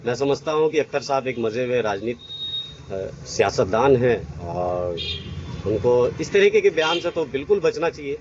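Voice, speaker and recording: Measured man in noisy street interview. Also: mid-range voice, big pitch swings, highs cut off. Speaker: interviewed local resident.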